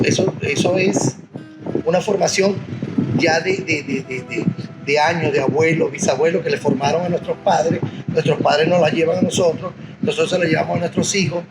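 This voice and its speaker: A Venezuelan man answering interview questions in a relaxed, rambling way, repeating words and filling pauses with "este". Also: a little high, poor audio. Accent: Venezuelan Spanish. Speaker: interviewee, singer of Portuguese music.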